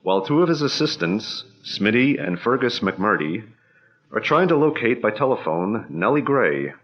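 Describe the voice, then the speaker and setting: Male radio-drama narrator, low and lively, dull lo-fi old-time sound. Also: moderate pace.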